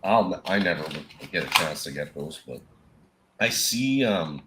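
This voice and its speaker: A man with a Minnesota accent hosting a casual live whiskey chat, rambling and laughing, clearing a frog from his throat. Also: low-pitched, expressive, measured pace, heavy background noise. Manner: laid-back, rambling, laughs often. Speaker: whiskey show host.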